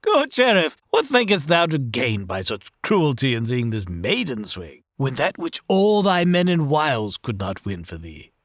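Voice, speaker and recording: A man's voice: medium pitch, wildly swooping pitch, unhurried, few pauses, a bit bright, no room sound, clean studio sound. A man playing a reproachful medieval Lord Chancellor in an audio drama, formal and theatrical in archaic English.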